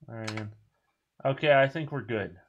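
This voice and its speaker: A man giving casual, rambling commentary while playing a video game, with a booming voice. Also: normal speed, a bit bright, muffled recording, slight background noise. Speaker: streamer.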